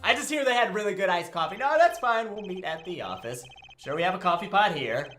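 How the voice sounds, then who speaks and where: Man acting a wisecracking young lawyer, lively pitch. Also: a little fast, echoey room, soft background music. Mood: flustered, joking. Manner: wisecracking, dramatic, theatrical. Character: young male defense lawyer, jokey, earnest, excitable. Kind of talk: fandub voice acting of a visual novel game.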